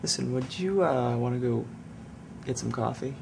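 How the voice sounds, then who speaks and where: A man's voice: mid-range voice, moderate pace, vocal fry. An actor playing an earnest young male writer who talks hesitantly and awkwardly in an indie film drama. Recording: heavy background noise.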